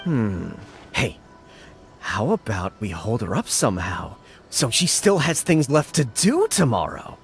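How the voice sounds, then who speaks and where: A man voicing an excitable, scheming, cowardly young gang underling in a video game, blustering and stammering. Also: medium pitch, measured pace, vocal fry, faint music behind. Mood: sly, defensive.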